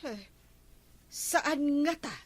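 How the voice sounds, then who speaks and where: A woman's voice: sits high, expressive, drawn-out words, dry recording, exaggerated voice. A woman with an Ilocano accent acting an inquisitive, suspicious village wife in a comedy drama. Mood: grumbling.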